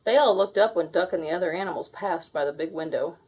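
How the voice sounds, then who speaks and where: A woman reading a children's story aloud with expressive, theatrical delivery. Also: on the low side, fast, husky, poor audio. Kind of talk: animated scripted read-aloud narration.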